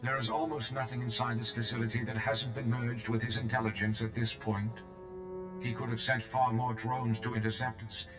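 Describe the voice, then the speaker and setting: A man's voice: lowish voice, monotone, echoey room, music in the background, close to an everyday voice, rough recording. A man voicing a blunt, free-willed medical droid in a video game, speaking formally and plainly. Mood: grave, urgent. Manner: formal, plain-spoken, measured. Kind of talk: video game cutscene acting.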